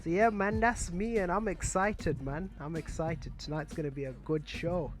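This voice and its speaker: A man's voice: fairly high pitch, steady tone, moderate pace. A man, a guest on a radio show, chatting in a laid-back way and laughing easily. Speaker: guest, clothing line owner. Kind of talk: casual radio chat. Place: radio studio. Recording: music under the studio talk.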